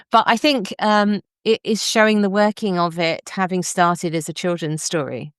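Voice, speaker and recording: A woman's voice: fairly low pitch, fairly even intonation, normal speed, no echo, studio quality. A woman hosting a literary discussion, speaking in an articulate, thoughtful, conversational way. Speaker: podcast host; novelist.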